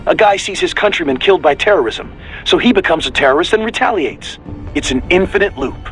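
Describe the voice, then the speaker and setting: An actor playing a philosophical mission advisor in a video game, explaining things emphatically. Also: male voice, high-pitched, animated, brisk, fairly bright tone, soft background music, rough recording. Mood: explanatory, intense. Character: intellectual male mission advisor, animated, philosophical.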